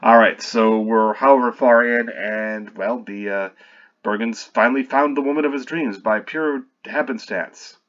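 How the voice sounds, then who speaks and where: A man's voice: medium pitch, unhurried, a bit bright, highs cut off. A man reading his own screenplay aloud while revising it, stopping often to correct himself and add wry asides.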